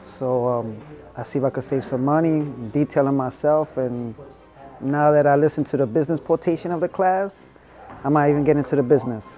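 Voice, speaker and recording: Upbeat mellow man from Brooklyn. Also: fairly high pitch, brisk. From Brooklyn, New York. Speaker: used car dealer, detailing student. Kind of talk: testimonial interview. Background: soft background music.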